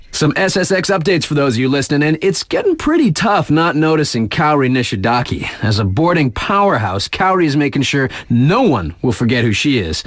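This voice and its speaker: A hip video game radio DJ with a slightly high male voice that swings widely in pitch. He reads sports news in slangy, easygoing hype, stretching key phrases, and the sound is dry and close. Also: no echo. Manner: slangy, laid-back hype with emphatic drawn-out phrases.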